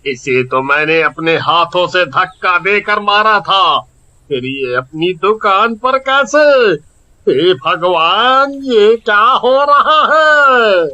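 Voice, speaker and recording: A man voicing a scheming, jealous village shopkeeper in a cartoon, with a whining, exaggerated, drawn-out delivery. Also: high-pitched, expressive, sharp tone, muffled recording, heavily acted. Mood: shocked. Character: scheming, jealous village sweet-seller, henpecked husband. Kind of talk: Hindi cartoon voice acting.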